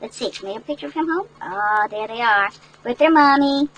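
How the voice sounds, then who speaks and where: A woman's voice: on the high side, expressive, a little fast, fairly bright tone, lo-fi sound, a little hiss or hum. An older woman, a great-grandmother, reading a picture book aloud to children with chatty, instructive asides and questions to her listeners. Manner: instructive, checks in with listeners through tag questions.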